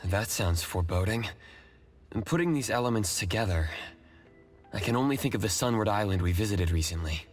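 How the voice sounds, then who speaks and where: Young investigator, game hero, lowish pitch, composed, matter-of-fact, music in background. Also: male voice, lively intonation, fairly quick. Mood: thoughtful. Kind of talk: scripted video game voice acting. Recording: music behind the voice.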